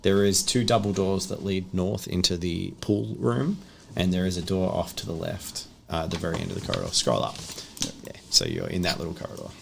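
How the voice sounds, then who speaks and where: A man's voice: low voice, lively intonation, fairly quick, gravelly, a little hiss or hum. A man working as game master, narrating a tabletop horror game in a casual, improvised way. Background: background music.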